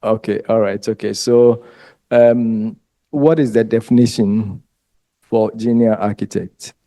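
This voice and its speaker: A man with a Ghanaian English accent lecturing a group of exam candidates, unhurried and conversational, pausing often with ums. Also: fairly low pitch, fairly even intonation, warm tone, no room sound.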